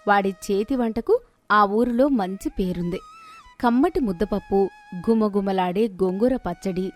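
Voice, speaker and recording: A woman's voice: medium pitch, unhurried. Woman narrating a moral tale over music. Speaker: storyteller narrator. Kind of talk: scripted moral story narration. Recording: music behind the voice.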